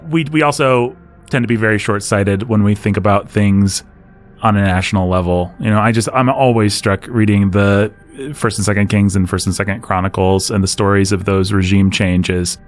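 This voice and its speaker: Man, podcast host, lowish voice, casual chat, chuckles while talking, faint music behind. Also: fairly even intonation, moderate pace. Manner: conversational, laughs while talking. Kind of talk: casual discussion.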